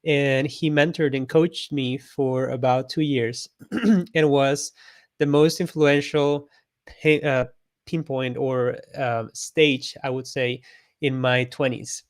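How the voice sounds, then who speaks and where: A man's voice: medium pitch, steady tone, takes it slowly, no echo, clean studio sound. A man from Costa Rica, a guest on an interview show, telling his story in a friendly, reflective way and laughing often. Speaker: guest, illustrator and artist coach.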